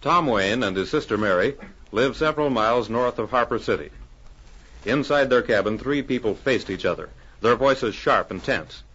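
A male radio narrator delivers formal, dramatic 1940s-style storytelling at a medium pitch. The old recording is muffled. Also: expressive, normal speed, a bit bright, slightly rough. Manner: declamatory, formal. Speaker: announcer and narrator.

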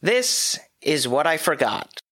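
A man hosting his own podcast, reading a scripted movie review with dry, wry asides, his voice a little hoarse from a cough. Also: fairly high pitch, big pitch swings, slow pace, sharp tone. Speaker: podcast host.

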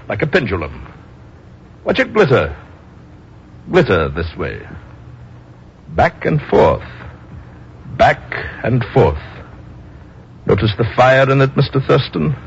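An actor playing a bluff, slyly hypnotic military general in a 1950s radio drama, musing in clipped, repeated phrases. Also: male voice, medium pitch. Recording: dull, noisy old radio recording.

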